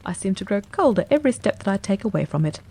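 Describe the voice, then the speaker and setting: A woman doing a keen, brisk detective man. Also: mid-range voice, big pitch swings, a little mellow, slightly rough. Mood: cool. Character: brisk, keen-minded male detective, cool and confident. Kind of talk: audiobook character reading.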